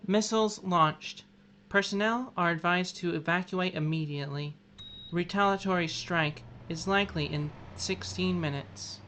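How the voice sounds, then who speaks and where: A woman's voice: on the low side, normal speed, a bit bright, a little hiss or hum, lightly acted. A woman playing a formal, impassive automated missile launch announcer in an audio drama. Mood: impassive countdown.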